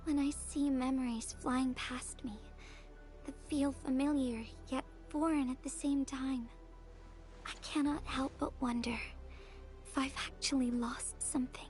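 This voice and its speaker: Gentle game heroine, high soft breathy voice, slow wistful delivery, sincere young woman. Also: fairly even intonation, faint music behind. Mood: wistful, uneasy. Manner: tender, reflective, unhurried. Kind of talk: video game story dialogue.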